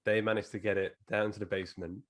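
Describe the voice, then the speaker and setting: A man's voice: on the low side, flat delivery, quick pace. A man hosting a podcast, chatting casually and telling anecdotes, with a lingering cough. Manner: chatty, laughs while telling stories. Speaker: podcast host. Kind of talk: casual chat.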